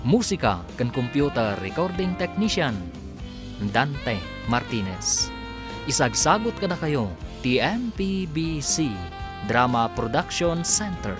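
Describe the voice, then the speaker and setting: A man's voice: a little high, big pitch swings, takes it slowly. A man reading scripted narration as the announcer of a radio drama. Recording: narration over loud background music. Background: music.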